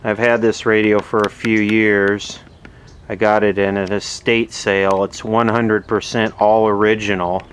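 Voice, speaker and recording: A man narrating a casual, unscripted hands-on repair demonstration in a matter-of-fact, halting way, as host of his own video. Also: fairly low pitch, little pitch movement. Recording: clearly audible background noise.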